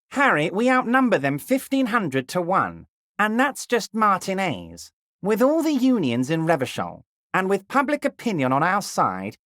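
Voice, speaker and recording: An actor playing a heavyset, smooth-talking male union boss, honeyed and self-satisfied with a menacing charm, in game voice acting. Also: sits high, a bit bright, dry recording, studio quality, exaggerated voice. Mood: rallying.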